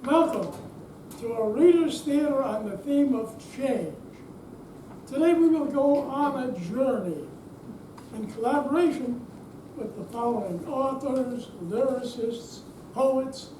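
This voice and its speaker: A woman narrator delivering scripted stage lines in a projected, deliberate way to a live audience. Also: high voice, expressive, dark tone. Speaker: readers theater narrator. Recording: distant mic, echoey room, faint noise.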